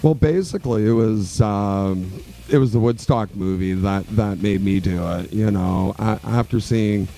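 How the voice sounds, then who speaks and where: Low, mellow-voiced man from Quebec. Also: unhurried, few pauses, faint music behind. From Quebec, Canada. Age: 40s. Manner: relaxed, rambling storyteller with many fillers. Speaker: guest musician. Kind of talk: radio interview.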